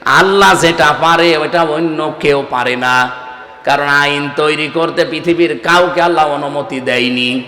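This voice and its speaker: A man delivers a fiery Islamic sermon in a fairly high, piercing voice. He exhorts his listeners insistently, as a preacher addressing a gathering. His words ring out through an echoing sound system. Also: fairly even intonation. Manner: exhorting, calls on listeners to answer louder; breaks into slow melodic Arabic recitation. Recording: echoing PA sound with long reverb.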